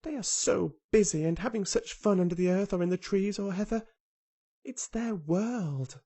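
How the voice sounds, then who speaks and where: A man's voice: high voice, moderate pace, warm tone, breathy edge, voice pushed up. A man reading aloud who voices a headstrong, contrary young girl with an earnest, blunt way of speaking. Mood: eager.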